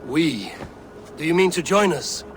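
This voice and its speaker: An actor playing a tough, loyal young Viking warrior in a video game, terse and resolute in manner. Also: male voice, a little high, animated, a little slow, a little hiss or hum. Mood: wary, resolute. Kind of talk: dramatic video game acting.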